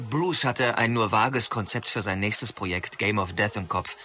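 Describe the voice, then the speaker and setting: Bright-voiced knowing film buff. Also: male voice, fairly low pitch, moderate pace, soft background music. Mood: keen, knowing. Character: keen, knowledgeable martial arts film buff explaining to a friend. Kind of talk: scripted radio play dialogue and storytelling.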